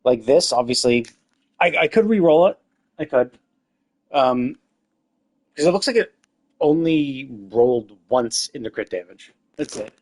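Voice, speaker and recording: A man's voice: medium pitch, expressive, measured pace, long pauses. A man streaming a game live, thinking aloud in a loose, rambling way with lots of restarts. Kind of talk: casual live-stream commentary while playing.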